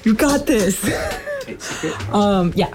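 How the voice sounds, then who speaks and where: A woman's voice: mid-range voice, animated, drawn-out words, a crowd in the background. A woman born in Ukraine whose first language is Russian, a folk musician talking about her band's music in a loose, rambling way, full of "like" and "um". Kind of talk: casual on-air chat.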